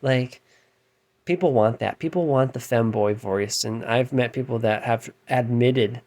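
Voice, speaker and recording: Brief: thirty-something man, light androgynous voice, mid-range pitch, rambling self-deprecating late-night rant. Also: measured pace. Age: 30s. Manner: frustrated, self-deprecating, trailing off and restarting; keeps his voice down, with loud bursts. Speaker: musician and vlogger.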